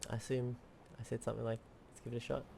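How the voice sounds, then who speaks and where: A man's voice: medium pitch, little pitch movement, very fast, vocal fry, background noise. A man streaming a game, giving casual playthrough commentary and reading some dialogue aloud. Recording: game music behind the voice.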